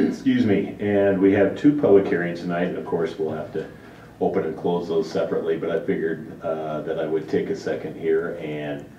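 A man presenting as a city manager, explaining matters in an informal, step-by-step way. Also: dark tone. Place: large indoor council chamber. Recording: dull, echoey distant chamber pickup with rumble.

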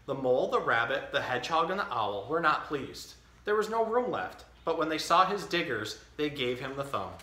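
Guy in his 30s doing kids storytime, bright lively voice, kinda echoey room. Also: fairly high pitch, normal speed, few pauses. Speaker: storytime host. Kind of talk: reading a children's picture book aloud. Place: indoor tiled-floor room. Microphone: camera a few feet away.

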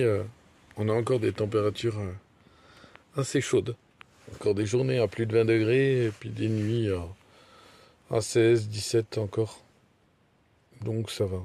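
A man's voice: lowish voice, gravelly, dark tone. A young man chatting casually and drowsily to his phone while lying in bed in a small camper. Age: young adult. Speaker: vlogger. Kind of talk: casual morning vlog chat. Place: small camper bedroom, indoors. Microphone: phone at arm's length.

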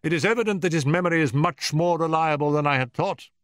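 A man's voice: a little high, no echo, voice pushed up, close to an everyday voice. A man voicing an urbane, unflappable male detective in an audiobook story, speaking politely with dry amusement. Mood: brisk, analytical. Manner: polite, measured, wry.

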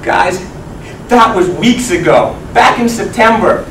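A young man giving a rhyming comic tall-tale speech in a theatrical, projected manner with dramatic pauses. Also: high-pitched, animated, fairly bright tone. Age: young adult. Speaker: contestant speaker. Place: medium banquet meeting room, indoors. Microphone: distant camera microphone. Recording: echoey room, far from mic, noisy. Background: audience noise.

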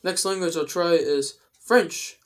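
A young adult man explaining things in a casual vlog style, with careful, halting phrasing, recorded indoors at home. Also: fairly high pitch. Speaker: video creator. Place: indoors, small room at home.

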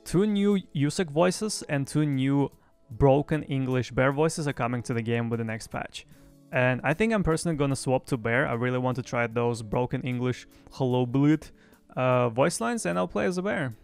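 Young adult male gaming news presenter, creaky edge, mid pitch, home streaming setup. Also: lively intonation, moderate pace. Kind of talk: semi-scripted news recap. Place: small home streaming room. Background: faint music.

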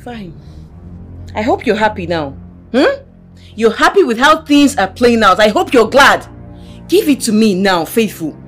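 A young woman acting as a proud, angry wife and mother who talks in a sharp, accusing way. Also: fairly high pitch, very animated, unhurried, long pauses, faint music behind. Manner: sharp and accusing, then screams in panic. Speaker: actor.

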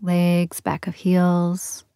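A woman leading a guided meditation in a soothing, gentle, unhurried manner with long pauses between phrases. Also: lowish voice, completely flat delivery, gravelly, smooth, dry recording, studio quality. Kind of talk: guided yoga nidra meditation.

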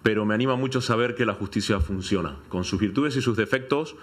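Low-voiced, deliberate man from Argentina. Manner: deliberate, organises points, pauses to find words. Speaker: panelist, businessman. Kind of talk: conversational opinion in a round-table debate.

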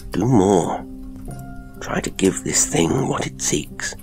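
A man voicing an earnest, gentle priest in an audiobook, speaking in a measured, insistent way. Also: lowish voice, animated, creaky, music in the background, voice pushed up, exaggerated voice. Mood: earnest, soothing. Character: earnest, gentle priest counselling a tormented friend.

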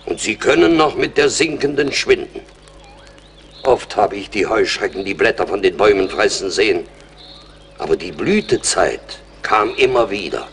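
A venerable old chief speaks in a low voice with weary, ceremonious gravity. It is radio play acting, solemn and just, over faint background noise. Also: male voice, expressive, normal speed, a bit bright. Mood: wistful, wondering. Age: older.

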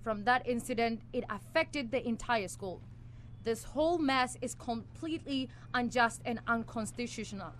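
A woman's voice: fairly high pitch, normal speed, heavy background noise, lightly acted. A woman acting an earnest, lovestruck young male student in a live amateur radio play. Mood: rousing. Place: small college radio studio.

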